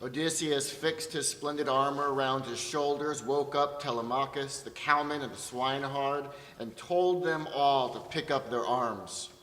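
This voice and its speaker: A man's voice: mid-range voice, measured pace, hardly any pauses, a bit bright. A man giving a dramatic, declamatory storytelling reading of an ancient epic on stage. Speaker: narrator of excerpts from Homer's epic. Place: theatre stage at an arts centre. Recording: echoey hall, distant from mic.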